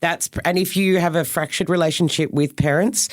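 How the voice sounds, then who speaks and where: Australian woman, celebrant co-host; booming projecting voice, chatty and jokey. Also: fairly even intonation. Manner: chatty and joking, quick asides. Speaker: wedding celebrant, podcast co-host. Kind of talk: casual podcast chat.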